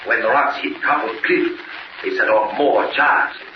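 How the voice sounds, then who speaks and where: An actor playing a scheming, gloating villain with a Spanish accent and broken English in a 1940s radio serial. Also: male voice, medium pitch, normal speed, lightly acted. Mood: confident, scheming. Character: scheming Spanish-speaking male villain plotting a bombing. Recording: noisy, muffled, echoey 1940s radio recording.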